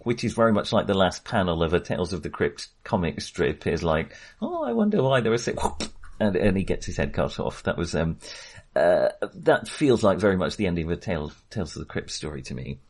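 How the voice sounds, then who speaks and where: A man's voice: fairly low pitch, sing-song, brisk, darkish tone. A middle-aged man casually discussing film as a podcast co-host, hesitant and thoughtful, circling back on his phrases.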